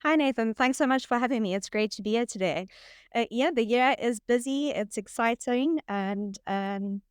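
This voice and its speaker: A woman's voice: on the high side, normal speed, smooth, dry recording, clean studio sound. A woman with a South African accent, speaking as a friendly, upbeat guest in a podcast interview. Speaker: guest, head of marketing. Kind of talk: casual interview chat.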